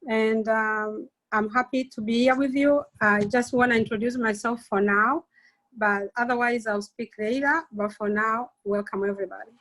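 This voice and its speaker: A woman's voice: on the high side, fairly even intonation, moderate pace, fairly bright tone, rough recording. An older woman, the host's mother, giving a plain, brief welcome on a video call.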